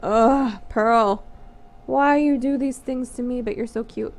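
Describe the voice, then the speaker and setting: A woman's voice: fairly high pitch, fairly even intonation, takes it slowly. A woman voicing an arrogant, irritated young witch girl from a visual novel, snippy and pouty, in a noisy home recording.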